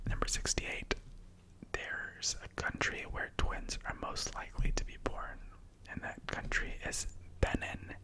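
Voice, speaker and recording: A man whose first language is Spanish, whispering numbered facts ASMR-style with casual chatty asides. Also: low-quality recording. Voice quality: soft unvoiced whisper. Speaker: ASMR host. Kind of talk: ASMR whispered fact reading.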